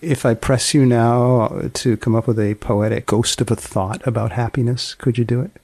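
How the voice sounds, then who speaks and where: A man hosting a casual interview, asking gently probing questions in a deliberate way. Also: mid-range voice, steady tone, dry recording. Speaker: host interviewer.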